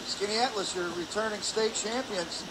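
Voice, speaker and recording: Man, color commentator, high voice, live sports analysis, noisy rink background. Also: moderate pace. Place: indoor ice hockey arena. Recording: noisy arena broadcast with ambient hum. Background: clear ambient arena noise.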